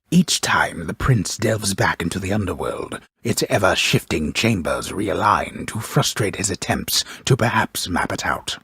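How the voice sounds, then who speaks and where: A man playing a grave storyteller of ancient Greek myth in a video game, narrating in a measured, formal, ominous and theatrical way. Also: extremely low pitch, a bit bright, husky, no echo, full character voice. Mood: dry, solemn. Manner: measured, formal, ominous, with archaic grand phrasing.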